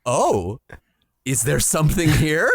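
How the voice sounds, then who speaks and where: Animated, higher-voiced joking man. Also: slow pace, husky, dry recording, studio quality. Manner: jokey, exclaiming, sweary. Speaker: podcast host. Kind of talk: casual comedic commentary.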